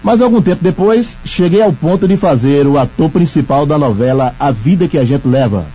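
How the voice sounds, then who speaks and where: Older male radio veteran, mellow, measured reminiscence, slightly muffled archive audio. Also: fairly high pitch, expressive. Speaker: veteran radio presenter and actor. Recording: dull lo-fi archive audio, faint music.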